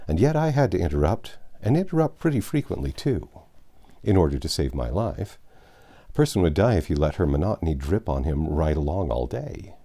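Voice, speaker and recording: Lively low-voiced male reader. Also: brisk. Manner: animated, shifts between narrator and characters' voices. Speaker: audiobook reader. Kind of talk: expressive reading of a classic novel.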